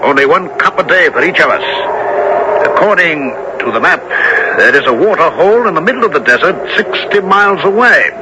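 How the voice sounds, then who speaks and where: An actor playing a seasoned adventurer who narrates his perilous expedition in a dramatic, deliberate radio drama style. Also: a little high, lively intonation, very noisy, close to an everyday voice. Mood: wary. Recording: old radio broadcast with loud music bed.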